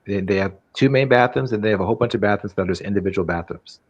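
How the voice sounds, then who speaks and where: A man on a debate panel who talks in a brisk, dismissive way. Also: lowish voice, dry recording, highs cut off. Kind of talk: casual debate.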